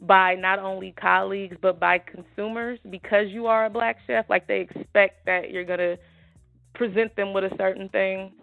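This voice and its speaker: Casting: friendly interviewer, gravelly mid-range voice, phone-like sound. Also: mid-range voice, fairly even intonation, normal speed, faint music behind. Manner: warm, appreciative, conversational. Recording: tinny phone-like line.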